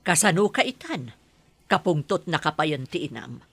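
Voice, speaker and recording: Kindly landlady, Ilocano accent, low husky female voice, animated intonation, radio drama. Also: moderate pace, dry recording, full character voice. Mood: wistful. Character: kindly childless married landlady longing for a baby. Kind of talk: Ilocano audio drama acting.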